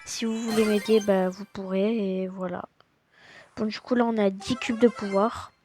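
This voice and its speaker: A woman's voice: mid-range voice, fairly even intonation, moderate pace. A woman with a slight cold giving a casual, hesitant voice-over of her gameplay, full of fillers and restarts. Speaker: gaming channel host. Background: loud game music.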